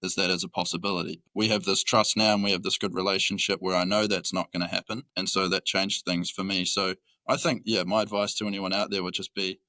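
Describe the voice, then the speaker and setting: Young male guest, New Zealand accent, open and reflective, lower pitch. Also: little pitch movement, fast, few pauses, sharp tone, dry recording. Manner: open, reflective, many fillers. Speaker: guest, employee. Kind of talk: casual podcast chat.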